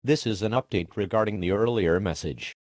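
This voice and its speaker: A man's voice: fairly low pitch, brisk, noisy background. A man narrating a scripted emergency broadcast in a formal, impersonal announcer manner.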